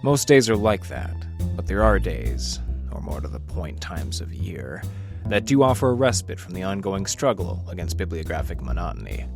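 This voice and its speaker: An actor playing a world-weary, hard-boiled library detective, narrating his case in a wry, deadpan, mock-tough way. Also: low-pitched, moderate pace, creaky, heavily acted. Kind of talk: noir radio detective narration. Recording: narration over loud guitar music. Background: guitar music.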